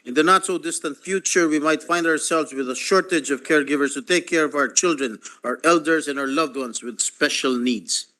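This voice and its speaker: A man's voice: a little high, a little fast, few pauses, bright, forceful tone. A man in his sixties with a Filipino accent, a senator giving a formal sponsorship speech and reading out a numbered list, with asides in Tagalog. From Philippines. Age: over 60. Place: legislative chamber.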